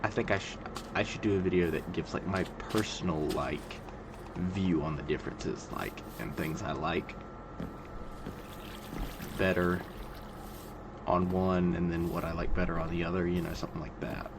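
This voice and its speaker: A man in his twenties giving calm, monotone, rambling gameplay commentary, full of fillers. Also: low-pitched, normal speed, creaky, long pauses. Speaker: gaming video creator. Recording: loud background noise.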